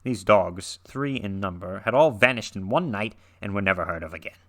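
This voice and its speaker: A man with a somewhat low voice reads a classic horror tale aloud, leaning into the drama. He swells and hushes his delivery for suspense and corrects the odd stumbled word as he goes. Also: normal speed. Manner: theatrical, shifting loudness and pace for suspense; occasional stumbles and self-corrections. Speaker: reader of a horror story. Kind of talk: dramatic read-through of classic horror fiction.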